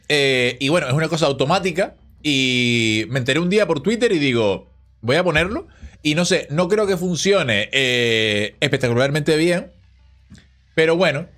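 A man in his thirties streaming a card game from a small home room on a headset mic, chatting casually and replying to viewers. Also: on the high side. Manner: relaxed, chatty, reads and answers chat. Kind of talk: casual live-stream chat while playing.

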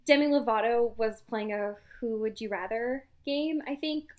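A woman co-hosting a podcast in casual chat, giggling often and trailing off softly at the ends of sentences. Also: fairly high pitch, normal speed. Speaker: podcast co-host.

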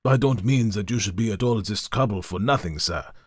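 A burly, nervous sailor, played by a male narrator in a low, plain-spoken voice. His words come earnest and heavy. The recording is dry and close. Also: a little fast, husky, dry recording.

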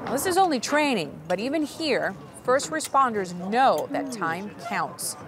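A woman host reading a scripted science narration, bright and inviting, asking questions of the viewer. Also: fairly high pitch, sing-song, measured pace, a crowd in the background.

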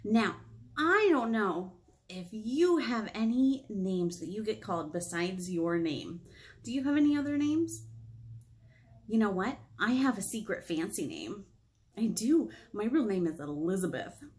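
A woman's voice: medium pitch, sing-song, a little slow, darkish tone. An American woman in her thirties hosting a children's storytime, chatting in simple phrases and asking young listeners questions. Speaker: children's librarian, storytime host. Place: small indoor room, painted wall.

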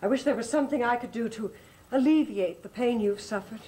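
A woman's voice: on the high side, fairly quick, dark tone. An actress playing a poised, probing woman in a 1960s television soap, genteel and theatrical, with a sympathetic veneer. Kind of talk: 1960s TV soap opera acting. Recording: vintage TV soundtrack, music clearly behind.

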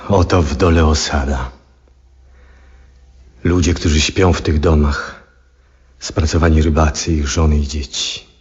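An actor playing a lonely, sick old male astronomer in a radio drama, speaking in a halting, reflective, husky voice. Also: rumbling low, steady tone, slight background noise. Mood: brooding. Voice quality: husky, hushed. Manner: halting, reflective, with long pauses. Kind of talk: 1970s Polish radio drama acting, introspective monologue. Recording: dull, muffled 1970s radio recording.

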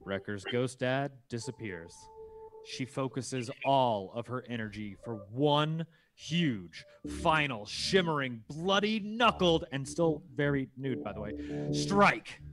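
A man's voice: mid-range voice, expressive, slow, fairly bright tone. A man narrating a scripted action comedy, emphatic and dramatic with a tongue-in-cheek edge. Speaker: narrator reading stage directions.

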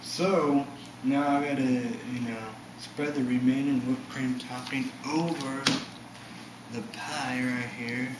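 A young man casually demonstrating a recipe in his home kitchen, talking to the camera in a rambling, halting way that keeps correcting itself. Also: mid-range voice, steady tone. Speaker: home cooking vlogger. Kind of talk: casual cooking demo to camera. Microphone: camera mic from across the room. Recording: roomy home video with loud hiss.

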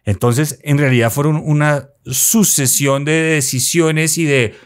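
A man in his forties from Colombia with a Bogotá accent, a podcast guest telling his story in animated storytelling chat with playful asides, recorded on a desk mic in a small studio. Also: fairly high pitch, a little slow. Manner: animated, gestures while talking, playful asides. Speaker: guest, motorcycle traveler. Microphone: desk mic close by.

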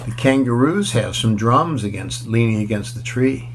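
A man in his sixties reads a picture book aloud at a middling pitch. He explains things simply, as if to small children, and slips in casual asides. Some background noise sits behind him in the room. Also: expressive, moderate pace. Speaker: children's storybook reader. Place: small furnished room indoors.

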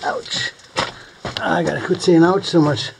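A man casually narrating as he hikes a desert canyon and explores old mine tunnels, relaxed and chatty, pointing things out along the way. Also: on the high side, a little slow, vocal fry, background noise. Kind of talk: casual narration while hiking.

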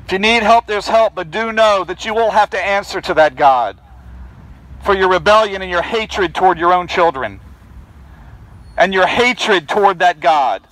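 A man's voice: sits high, moderate pace, fairly bright tone, no room sound, low-quality recording. A man preaching in the open air outside a building, calling out insistently to people passing by. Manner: projecting, insistent pleading. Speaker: street preacher. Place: outdoors by a fence and bushes.

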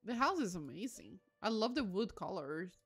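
A woman hosts a casual gaming livestream with a middle-pitched, highly expressive voice. She muses aloud as she plays, breaking into enthusiastic exclamations, and her intonation moves up and down freely. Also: moderate pace, slightly rough, faint music behind. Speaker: livestream host. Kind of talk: casual game commentary.

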